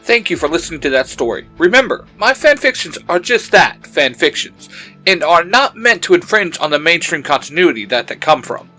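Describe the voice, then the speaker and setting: Bright-voiced male podcast host over faint music. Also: a little high. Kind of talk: scripted credits and thanks.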